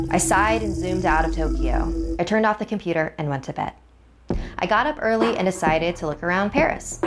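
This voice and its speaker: A woman reading a first-person horror story in a suspenseful, expressive, dramatic way. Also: fairly low pitch. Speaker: narrator. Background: music.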